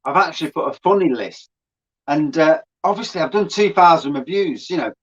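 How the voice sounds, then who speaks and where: A man's voice: a little high, animated, brisk, highs cut off. A man, a beer reviewer, chatting casually as a guest on a stream, swearing freely and laughing as he tells stories.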